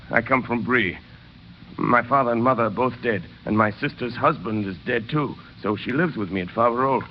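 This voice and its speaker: An actor playing a hungry, humble ex-convict traveler with halting, plain-spoken pleading in an old radio drama. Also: male voice, mid-range voice, lightly acted. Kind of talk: 1930s radio drama acting. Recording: muffled, noisy vintage radio recording.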